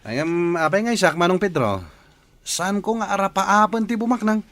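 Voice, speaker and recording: A man's voice: high voice, moderate pace, fairly bright tone, no echo. A man with an Ilocano accent playing an eager, scheming village man with modest dreams in a radio comedy-drama. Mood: earnest, teasing.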